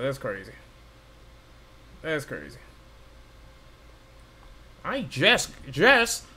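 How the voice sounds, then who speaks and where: A young man in a home room giving casual, excited reaction commentary on a game, repeating phrases and stumbling over names. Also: a little high. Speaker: gaming reaction YouTuber. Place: home room with gaming chair.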